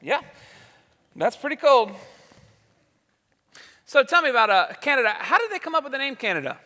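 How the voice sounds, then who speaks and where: Folksy showman host male actor, radio variety style, forceful very high voice, live reverberant stage. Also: big pitch swings, moderate pace, full character voice. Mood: genial, showy. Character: old-time radio show host, genial showman. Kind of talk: old-time radio variety show emceeing. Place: large hall, live stage show. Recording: echoey hall, live stage sound.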